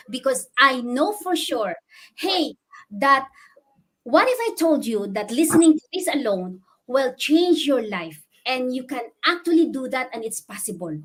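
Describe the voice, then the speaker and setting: A woman's voice: sits high, fairly bright tone. A woman hosting a livestream interview, addressing viewers in an effusive, emphatic way and often repeating her phrases. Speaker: host interviewing a guest.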